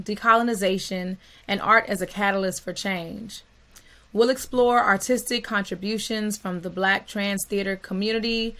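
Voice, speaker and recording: A woman's voice: medium pitch, pushed and bright. A woman co-hosting an interview, who reads a scripted introduction and asks questions with effusive enthusiasm. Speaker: co-host.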